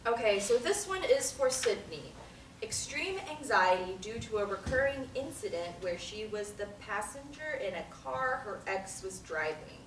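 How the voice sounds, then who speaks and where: A slightly high actress's voice playing a flippant, nosy female therapy patient in a drama reading. She is bold, casual and sardonic. The recording is roomy with faint noise. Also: lively intonation, normal speed, a bit bright. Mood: nosy, amused. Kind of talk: dramatic table reading of a play. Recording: echoey room, distant mic, noise.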